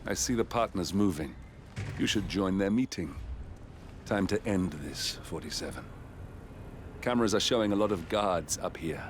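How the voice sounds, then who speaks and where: Low-pitched, grim male operative. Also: animated, normal speed, pauses often, background noise. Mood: focused, calm. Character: grim, vengeful male ex-operative guiding an assassin partner. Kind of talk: cinematic video game dialogue.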